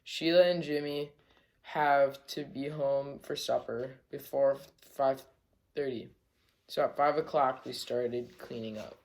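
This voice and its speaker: Story read-aloud, slow pace, halting phrasing, medium pitch. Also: fairly even intonation, low-quality recording. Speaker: reader in a group read-aloud. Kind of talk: reading a children's story aloud.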